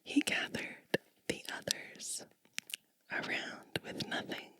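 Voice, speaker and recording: A woman whispering a bedtime storybook in an ASMR style, gentle and unhurried, close to the microphone. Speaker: ASMR host reading a storybook aloud.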